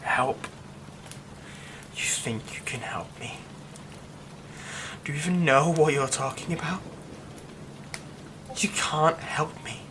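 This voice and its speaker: A man voicing a grieving, reclusive young man in an ASMR audio roleplay, speaking in soft half-whispers that break into bitter, scornful outbursts. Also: on the high side, takes it slowly, pauses often, background noise. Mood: bleak, mocking.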